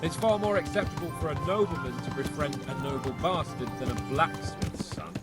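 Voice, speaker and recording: A man's voice: a little high, lively intonation, fairly quick, over loud music, lightly acted. An actor playing a cocky, worldly young medieval nobleman with a flippant, wry way of talking in video game dialogue. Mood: wry, candid.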